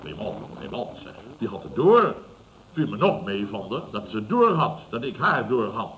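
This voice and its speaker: An actor playing a pompous, self-satisfied middle-aged boss who exclaims and stammers when flustered, in old radio comedy style. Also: male voice, on the low side, big pitch swings, moderate pace, a bit bright. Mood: smug, boastful. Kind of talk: 1970s Dutch radio comedy acting. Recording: dull, noisy vintage radio recording.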